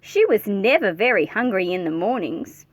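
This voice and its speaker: A woman reading a children's picture book aloud in an expressive, playful way, speaking directly to her young listeners with chatty asides. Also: mid-range voice, moderate pace, dry recording.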